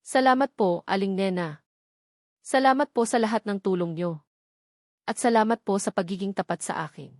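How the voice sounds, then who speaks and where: A woman's voice: medium pitch, moderate pace, pauses often, no room sound, clean studio sound, close to an everyday voice. A Filipino woman voicing a humble, grateful young mother, polite and sincere, in a narrated love story.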